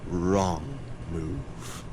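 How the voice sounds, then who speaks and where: A man plays an all-seeing, ominous storyteller in a sci-fi audio drama. His voice is on the low side, sardonic and dramatic, with clear background noise humming behind. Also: lively intonation, drawn-out words, mellow, exaggerated voice. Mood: tense, ominous. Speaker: narrator. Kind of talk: scripted audio drama narration.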